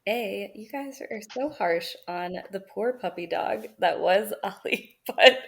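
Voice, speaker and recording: A woman's voice: medium pitch, slow pace, gravelly, a bit bright. A woman talking casually on a film podcast, chatty and full of fillers. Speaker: podcast guest.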